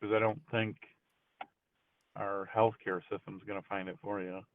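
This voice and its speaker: A man's voice: fairly low pitch, normal speed, no echo. A man calling in, chatting casually and hesitantly, with many restarts. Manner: hesitant, full of restarts, animated when surprised. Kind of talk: casual chat. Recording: tinny phone line.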